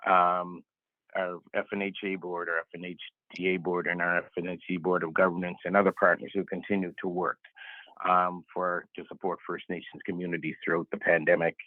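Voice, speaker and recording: Man, raspy from a cold, very low voice, halting, lots of fillers, dry phone-line sound. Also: no room sound. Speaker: health director and board member. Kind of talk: formal welcome remarks.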